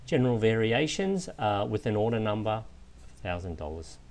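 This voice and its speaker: A man giving a methodical, explanatory software walkthrough in the manner of a trainer. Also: fairly low pitch, normal speed. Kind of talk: instructional screen walkthrough narration. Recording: clear steady background noise.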